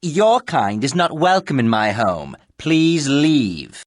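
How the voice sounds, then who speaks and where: An actor playing a hostile, prejudiced householder in a fantasy game, speaking with cold, formal contempt. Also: male voice, medium pitch, sing-song, slow, no echo, clean studio sound.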